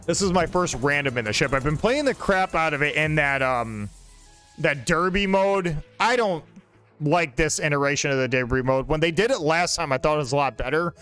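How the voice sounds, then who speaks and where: A man's voice: a little high, moderate pace, fairly bright tone, faint music behind. A man in his forties casually commenting on his own gameplay, rambling and joking with a friend over a desk mic. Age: 40s. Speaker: gaming channel host.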